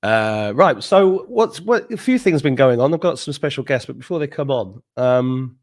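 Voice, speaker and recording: A middle-aged Englishman hosts a live stream in a relaxed, rambling chat. He jokes and laughs often as he goes, trailing off into loose asides, in a voice of middling pitch. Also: normal speed. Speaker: host. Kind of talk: casual live-stream chat, greeting viewers.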